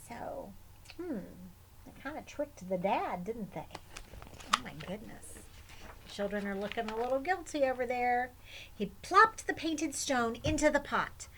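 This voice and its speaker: A woman's voice: fairly high pitch, animated, measured pace, background noise. A woman storyteller reading expressively to children, with chatty asides.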